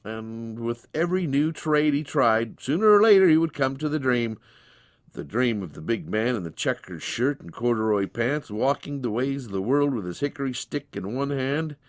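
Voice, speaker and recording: A man's voice: mid-range voice, expressive, moderate pace, no room sound, clean studio sound. A man narrating a dramatized storybook tale in a folksy storytelling manner.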